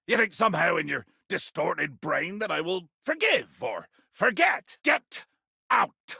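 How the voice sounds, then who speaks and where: An actor playing a tiny, fierce, pompous pirate captain in a video game, with a raspy, gravelly voice and grandiloquent, blustering delivery. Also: male voice, sits high, very animated, measured pace, pushed and bright, no room sound, studio quality, exaggerated voice. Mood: furious, threatening. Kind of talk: theatrical video game character acting.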